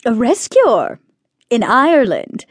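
A woman's voice: a little high, wildly swooping pitch, slow, slightly rough, dry recording, clean studio sound, exaggerated voice. A woman playing a poised, clever adventuress, coy and flirtatious, in a comic radio drama. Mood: dry, unimpressed.

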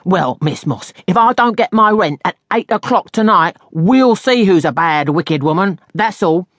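A woman reader plays a scornful storybook landlady out for her rent. She taunts and threatens with relish, her pitch swinging widely in a dry, close sound. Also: sing-song, normal speed, no echo. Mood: smug menace.

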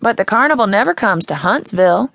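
A woman's voice: mid-range voice, lively intonation, fairly quick, creaky, fairly bright tone, dry recording, pitched above the performer's own voice. A woman reading a story voices a frail, sad small-town woman who speaks in a sugary, doting way. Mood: surprised, doting.

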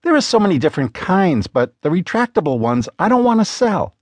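Exasperated sharp-tongued woman partner, done by a man, raised pitch, sarcastic and scolding, swooping emphasis, dry studio sound. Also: sing-song, fairly quick, no room sound. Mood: insistent.

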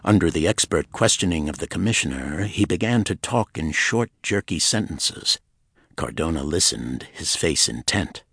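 A man with a low-pitched voice narrates a pulp mystery audiobook. His tone has a husky, slightly rough edge, and he delivers the prose as scripted storytelling. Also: lively intonation, moderate pace, a bit bright, no room sound, clean studio sound.

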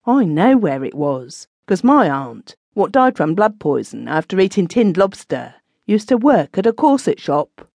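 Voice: big pitch swings, unhurried, vocal fry, few pauses, a little mellow, dry recording, full character voice. A reader voicing a tipsy, whining pub woman. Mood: gossipy. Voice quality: shrill, whining.

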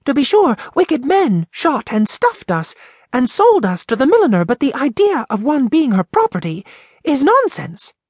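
A man reading aloud and voicing a stuffed robin redbreast who argues gravely, in a high put-on voice. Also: wildly swooping pitch, normal speed, no room sound, studio quality, full character voice. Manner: grave, reasoning.

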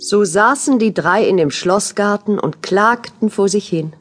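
A woman's voice: mid-range voice, unhurried, over music. A woman reading scripted fairy-tale narration as the storyteller of an audio play.

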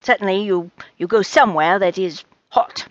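A woman's voice: mid-range voice, normal speed, no echo, highs cut off, close to an everyday voice. A woman playing an eccentric, brusque old woman who tells fortunes in a storybook, with theatrical, mysterious pronouncements. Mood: mystical.

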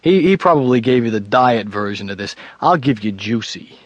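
An actor playing a troubled, self-deprecating husband in a radio drama, halting and stammering with a wry, evasive manner. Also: male voice, medium pitch, animated, no room sound, lo-fi sound, lightly acted. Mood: uneasy recounting. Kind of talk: naturalistic radio drama acting.

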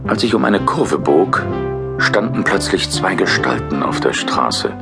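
Calm detective hero: deep male voice, scripted audio drama narration over loud music. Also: a little slow, creaky, heavily acted. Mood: calm, matter-of-fact. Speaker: first-person narrator.

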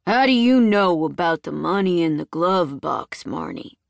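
A woman voicing a cruel father in an audiobook, speaking softly and slowly with quiet menace. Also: lowish voice, little pitch movement, smooth, no echo, studio quality. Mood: menacing. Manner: soft, slow, quietly menacing.

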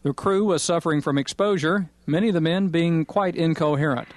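Male actor as news announcer, slightly high pitch, formal scripted report, radio drama. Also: brisk, natural voice.

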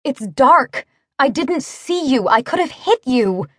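Feisty, rattled storybook heroine performed by a female narrator, raised pitch, indignant and panicky, in a dry recording. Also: big pitch swings, normal speed, darkish tone, no room sound. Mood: accusing, upset. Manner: agitated, accusing.

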